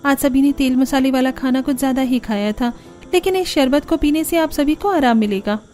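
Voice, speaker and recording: A young, dutiful daughter-in-law in a cartoon tale, acted by a woman. She sounds high and meek, always polite, with light music behind her. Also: brisk, darkish tone, close to an everyday voice. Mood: earnest. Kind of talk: cartoon story character voice.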